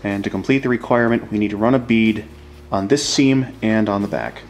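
A man's voice: lowish voice, brisk, soft background music. A man explaining a hands-on demonstration in a casual, informal way, as an instructor talking to viewers.

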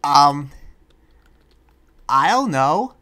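A person improvising a relaxed demon slayer in a game roleplay, with a hesitant, halting delivery. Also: medium pitch. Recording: music behind the voice.

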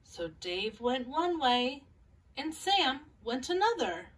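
A woman in her thirties hosting a children's storytime, reading and introducing a picture book in a measured way with pauses. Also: high-pitched, sing-song, fairly bright tone, breathy edge. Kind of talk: scripted introduction and picture-book read-aloud. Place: small furnished room at home, indoors. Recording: voice a little far from mic.

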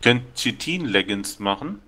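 A man's voice: medium pitch, expressive, measured pace, bright, forceful tone, slightly rough. A man chatting casually while playing a co-op survival game, rambling through explanations of game mechanics. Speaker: gameplay co-commentator. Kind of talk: casual co-op gameplay chat.

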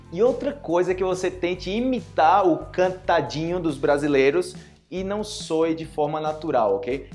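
Animated young Brazilian man teaching. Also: fairly high pitch. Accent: Northeastern Brazilian. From Northeast Brazil. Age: young adult. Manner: animated, gestures a lot, acts out dialogues. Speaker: Portuguese teacher. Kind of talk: online language lesson to camera. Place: small indoor room with plain wall.

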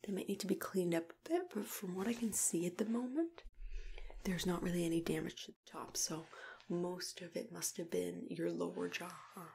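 A young woman speaks in hushed, breathy whispers and very soft murmurs, with clear background noise around her. She plays a dentist in an ASMR roleplay, gentle and reassuring, taking her time between phrases. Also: on the low side, moderate pace. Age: young adult. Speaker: ASMR creator playing a dentist.

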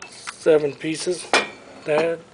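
Man, auction preview commentary, slow, clipped phrases, item by item, light background noise, indoor showroom, camera mic. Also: fairly high pitch, flat delivery. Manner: clipped, listing items one by one. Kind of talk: walk-through item commentary in short phrases. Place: large indoor auction showroom full of furniture. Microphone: handheld camera microphone. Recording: noisy handheld camera in a showroom.

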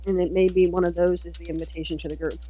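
A woman's voice: on the low side, little pitch movement, rapid-fire, mellow. A woman chatting casually and narrating her part in a fantasy roleplay. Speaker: roleplay co-player. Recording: faint background noise.

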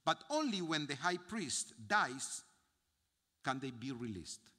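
An older man with an Argentine Spanish accent, a rabbi giving a solemn memorial sermon from notes, with measured phrasing and heavy pauses. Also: a little high, sing-song, bright, forceful tone, slightly rough. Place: large synagogue sanctuary. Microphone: gooseneck podium mic. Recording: long hall reverb from the sanctuary.

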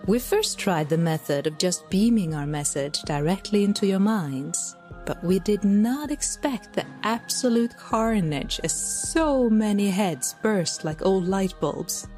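A woman playing an otherworldly divine messenger who was once human, formal and deliberate, in an audio drama. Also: mid-range voice, animated, dark tone, soft background music, close to an everyday voice. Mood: solemn, uncanny. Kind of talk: scripted audio drama monologue and narration.

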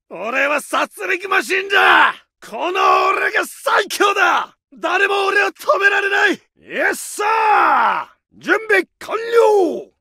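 An actor playing a cocky young male soldier in a war video game, shouting gravelly taunts and alerts. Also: very high-pitched, dry recording, studio quality, exaggerated voice.

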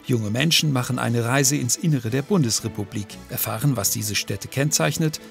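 Man, documentary narrator, gravelly, measured, scripted voice-over, music underneath. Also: mid-range voice. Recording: music bed behind voice-over.

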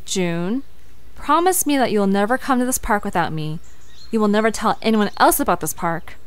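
A woman's voice: mid-range voice, expressive, moderate pace, smooth, natural voice. A woman playing a curious, determined young investigator in an audio drama, earnest and conversational. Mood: firm.

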